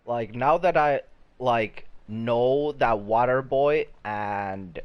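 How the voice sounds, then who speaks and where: A man's voice: medium pitch, very slow, clean tone, highs cut off. A man giving casual live commentary as a game streamer, chatty and laid-back. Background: game music.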